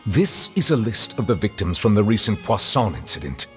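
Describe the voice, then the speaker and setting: An actor playing a stern, dignified male chief justice who questions in a formal, measured, grave way, heard as game audio over soft music. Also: on the low side, sing-song.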